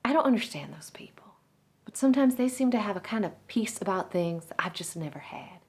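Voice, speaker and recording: A woman performing a dramatic stage monologue as a warm-hearted, gossipy Southern salon owner with a folksy, confiding delivery. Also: mid-range voice, very animated, fairly quick. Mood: torn, wistful.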